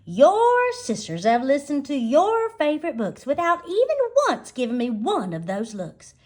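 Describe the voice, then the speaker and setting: Storybook mama cat, acted by a woman: highish, doting yet firm, drawn-out coaxing lines. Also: wildly swooping pitch, a little mellow, full character voice. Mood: coaxing, chiding. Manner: coaxing, singsong, pet names.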